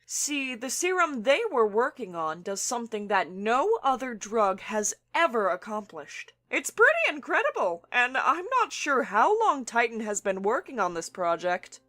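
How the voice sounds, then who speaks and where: A woman playing excitable scientist Hanji. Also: on the high side. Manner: animated, bursts into giddy laughter. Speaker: scientist character. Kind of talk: fan audio drama acting.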